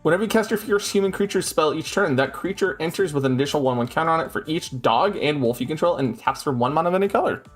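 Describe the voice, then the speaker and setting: A man's voice: fairly high pitch, fast talker, hardly any pauses, soft background music. A man hosting a stream from his home setup, chatting casually and reading card rules aloud. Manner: animated, reads rules text aloud in bursts. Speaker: streamer host.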